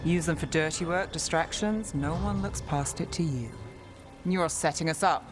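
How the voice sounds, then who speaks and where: A woman's voice: low-pitched, normal speed, music in the background, natural voice. A woman playing a cool, cynical detective in a fantasy video game, speaking tersely with dry skepticism. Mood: accusing. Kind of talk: restrained video game drama acting.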